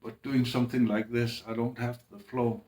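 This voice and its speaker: A man speaking in a halting, hesitant way, with restarts and long pauses, in a personal monologue. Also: mid-range voice, little pitch movement, a little mellow. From Greenland. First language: Danish.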